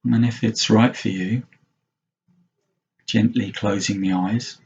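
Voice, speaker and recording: A man leading a guided mindfulness meditation as a teacher, speaking gently and unhurriedly in short phrases with long pauses. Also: lowish voice, fairly even intonation, creaky, breathy edge, muffled recording.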